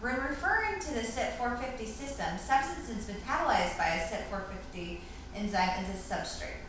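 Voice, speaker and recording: A woman's voice: fairly high pitch, lively intonation, moderate pace. A woman in the role of a student, giving scripted answers in a formal, careful recital of definitions. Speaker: student answering quiz questions. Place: reverberant indoor room, distant microphone. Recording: very echoey room, far mic, faint hiss.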